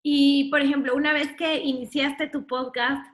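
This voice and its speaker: A woman's voice: sits high, level tone, normal speed. A woman hosting a podcast interview who asks friendly leading questions and affirms the guest. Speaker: podcast host.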